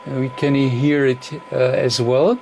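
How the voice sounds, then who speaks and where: Slow, hesitant man explaining radio tests. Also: mid-range voice, level tone, vocal fry, darkish tone, rough recording. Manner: halting, fragmented delivery with very frequent hesitations. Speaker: radio technician demonstrator. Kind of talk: unscripted technical demonstration. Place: indoor electronics test bench. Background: radio receiver noise.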